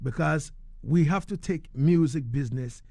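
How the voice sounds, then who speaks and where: A man with a Ghanaian accent hosting a live radio interview, emphatic, building his questions with halting repetitions. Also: fairly high pitch, creaky, mellow, a little hiss or hum. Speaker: radio host. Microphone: close studio microphone.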